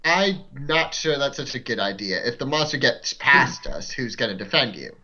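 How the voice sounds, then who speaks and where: A man's voice: mid-range voice, big pitch swings, moderate pace, bright, forceful tone. A man chatting casually as a player in a tabletop game, on a slightly muffled recording. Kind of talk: casual chat.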